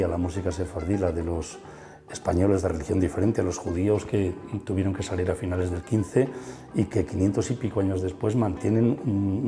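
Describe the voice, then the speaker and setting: A man's voice: sits low, warm tone, in a large hall. A middle-aged man guiding an interview through a museum, enthusiastic and full of fillers and tag questions. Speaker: musician and museum guide. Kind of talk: explanatory interview chat. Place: museum room.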